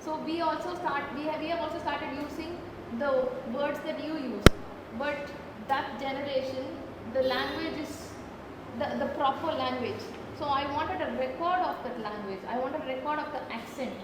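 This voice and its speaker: High-voiced Tamil Indian woman in echoey hall. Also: moderate pace. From Tamil Nadu, India. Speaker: photographer presenting a residency project. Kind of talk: unscripted panel presentation. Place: large reverberant indoor event space. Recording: very echoey hall, clear background noise.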